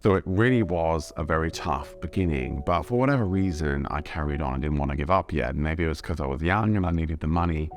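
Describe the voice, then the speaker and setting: A young Englishman with an English accent, telling his own story in measured, reflective scripted narration. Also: very deep voice, expressive. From Britain. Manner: thoughtful, measured storytelling, switching between English and German. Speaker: vlogger.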